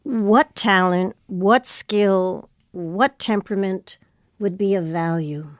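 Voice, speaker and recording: A woman hosting an interview, putting prepared questions in a measured, articulate way. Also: mid-range voice, no echo, studio quality. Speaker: interview host.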